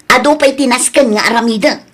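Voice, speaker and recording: High-pitched actress as young woman vendor, Ilocano accent, defensive, plaintive, insistent, comedy-drama dialogue. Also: brisk, fairly bright tone. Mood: defensive, stressed. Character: stressed, defensive young woman food vendor named Francia.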